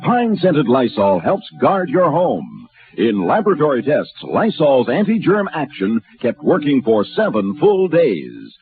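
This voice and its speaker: A man's voice: mid-range voice, wildly swooping pitch, a little slow. A man reading a 1950s radio commercial in an emphatic, punchy selling manner. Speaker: commercial announcer. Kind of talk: 1950s radio advertising pitch. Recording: tinny phone-like old radio recording.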